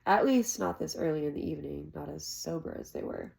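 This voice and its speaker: A woman with an American accent reading a fantasy novel aloud as expressive scripted narration. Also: sits low, brisk, creaky. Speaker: amateur audiobook reader.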